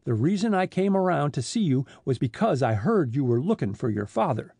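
A man voicing a slow-witted, long-winded middle-aged truck driver who talks in a plodding, folksy way. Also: fairly high pitch, sing-song, creaky, warm tone, husky, dry recording, heavily acted.

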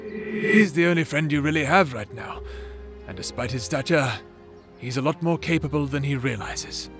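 A man's voice: a little high, normal speed, over music, close to an everyday voice. A man playing a probing, taunting figment of someone's thoughts in an audio drama, speaking formally with archaic phrasing. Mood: measured, cautioning. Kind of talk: audio roleplay drama acting.

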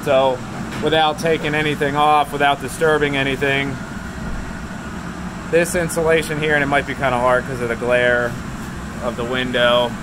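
A man's voice: mid-range voice, little pitch movement, normal speed, long pauses. A young man in his twenties, an operations manager, walking through a basement job on a handheld phone, explaining with many fillers. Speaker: operations manager of a remediation company. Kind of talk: casual work walkthrough vlog. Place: basement under renovation, indoors. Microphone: phone held at arm's length. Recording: loud machine noise in basement. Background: loud air scrubber machine hum.

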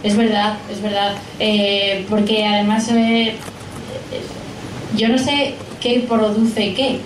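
A woman's voice: mid-range voice, level tone, slow pace. Spanish woman in her twenties, echoey room. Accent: Spain Spanish. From Spain. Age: late 20s. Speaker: guest speaker, science and tech journalist. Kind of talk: informal talk and answering audience questions. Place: small pub event room, indoors. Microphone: handheld PA mic, picked up by a camera. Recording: echoey room, distant camera mic, faint noise.

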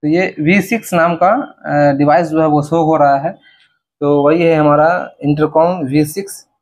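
Young man, slightly high pitch, casual vlogger, step-by-step explaining, indoor demo. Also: fairly even intonation, measured pace, a little mellow. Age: young adult. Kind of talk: casual product installation demo to camera. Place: indoors in a small bedroom.

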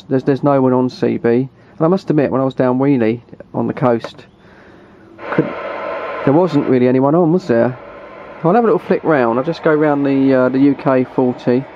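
A man with a southern English accent chatting casually in a chummy, rambling way, as a hobbyist vlogger. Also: mid-range voice. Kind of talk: casual vlog chat. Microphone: handheld camera. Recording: dull, noisy handheld camera audio.

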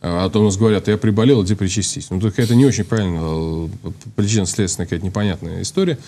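A man's voice: sits low, rapid-fire, warm tone. A man in his thirties, a priest, explaining at length on a studio talk show, thinking aloud with many restarts and fillers. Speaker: priest guest. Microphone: lapel.

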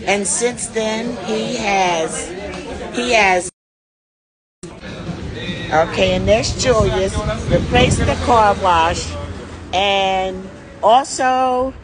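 A woman's voice: medium pitch, extremely slow, pauses often, poor audio. Chatty woman vlogging on the street. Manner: enthusiastic, chatty, addresses viewers as y'all. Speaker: vlog host and tour organizer. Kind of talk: casual live walk-and-talk selfie video. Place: outdoors on a city street beside a restaurant. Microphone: phone held at arm's length. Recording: music playing clearly behind voice. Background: music.

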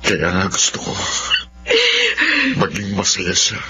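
A man's voice: low-pitched, fairly even intonation, slow pace, bright, forceful tone, muffled recording, slight background noise, full character voice. A man playing a patient, sorrowful middle-aged father in a Filipino radio drama, speaking in a soothing, earnest way.

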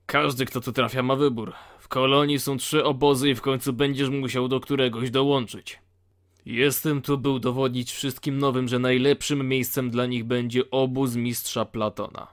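A man's voice: medium pitch, level tone, moderate pace, lightly acted. A man playing blunt, dryly sardonic convicts of a penal colony in a fantasy audio drama. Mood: blunt, matter-of-fact.

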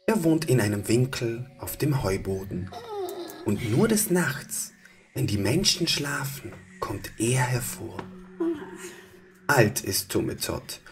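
A man narrating a children's story as storyteller, in a hushed, expressive manner. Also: fairly low pitch, slight background noise.

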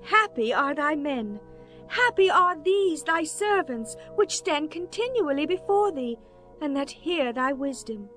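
A woman's voice: sits high, lively intonation, measured pace, a bit bright. An actress playing an awed, reverent visiting queen in a dramatized scripture reading, with formal, declamatory archaic speech. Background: music bed.

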